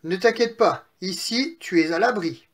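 A man's voice: sits high, measured pace, a bit bright. A man voicing a confident, cunning eldest little pig from a children's storybook, bold and pleased with himself. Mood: reassuring. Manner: bold, self-satisfied.

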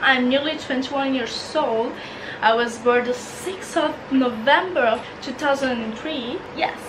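Italian girl around 20 chatting to her camera from her bedroom, repeats words a lot, kinda high voice, noisy background. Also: a little slow. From Italy. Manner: chatty, restarts and repeats words, non-native phrasing. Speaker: vlogger. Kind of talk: casual Q&A chat. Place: bedroom, indoors.

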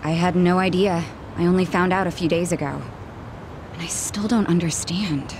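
A woman's voice: on the low side, steady tone, normal speed, background noise, lightly acted. An actress playing a blunt, sarcastic young woman bartender in a video game. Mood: frank. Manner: brusque, sarcastic. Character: blunt, prickly young female cabaret bartender. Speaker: voice actor in a game. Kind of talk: English video game cutscene dub. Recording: music behind the voice.

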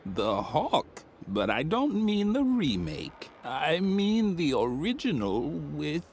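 An impossibly old, befuddled man who drifts from one grand tall tale to the next. His voice leaps up and down in pitch and moves at a slow, dawdling pace. Faint game ambience hums underneath. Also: very animated, darkish tone, a little hiss or hum, full character voice. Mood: rambling. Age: older. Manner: rambling, muddled, boastful tall tales. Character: ancient rambling old man hanging on a hook.

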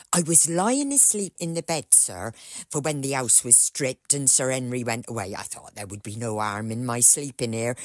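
An actress playing an anxious, deferential old British housekeeper who confides gossip in an audio drama. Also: female voice, sits low, no echo. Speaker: actor.

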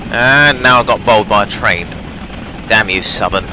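A man's voice: lowish voice, normal speed. A young man rambling casually through train-simulator gameplay, hesitant with many fillers, his voice strained from talking too much. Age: young adult. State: losing his voice. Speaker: gaming channel host. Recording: noisy home recording.

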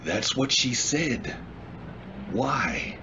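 An actor playing a blunt, wary working-class cab driver in an old-time radio drama style. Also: male voice, mid-range voice, lively intonation, extremely slow, dull audio, noisy background. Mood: shaken. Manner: blunt, colloquial, incredulous.

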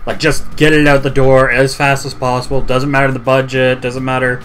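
A man's voice: mid-range voice, little pitch movement, normal speed, fairly bright tone, a little hiss or hum. A man chatting informally about video games as a panelist on a group podcast. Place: online group voice chat. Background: music.

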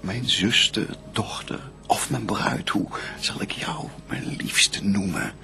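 Devout first man and adoring bridegroom, low gravelly voice, lyrical sweeping declamation, solemn verse radio play. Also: expressive, takes it slowly, sharp tone, faint music behind, full character voice. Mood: tender, adoring.

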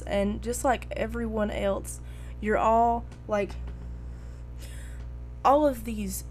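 A young woman with a scratchy, hoarse voice from a cold, chatting casually and slowly to spare her throat as she hosts her own gaming video. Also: mid-range voice. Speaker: gaming vlogger. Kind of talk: casual chat over a speed build. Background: soft background music.

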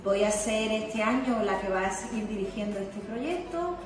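A woman's voice: mid-range voice, moderate pace. A middle-aged woman from Spain introduces herself as school director in a friendly, personal way. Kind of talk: self-introduction to camera. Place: indoor school lounge, echoey. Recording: echoey room, distant mic, background music.

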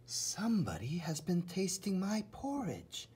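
Man voicing middle-sized mother bear, soft, whispery, raised pitch, concerned, storybook. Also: wildly swooping pitch, a little slow, full character voice. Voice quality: breathy, whispery.

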